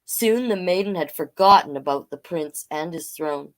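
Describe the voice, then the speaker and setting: A woman hosting a storytime, reading a picture book aloud with chatty, gently encouraging asides to listeners. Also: low voice, normal speed. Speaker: children's storytime reader and host.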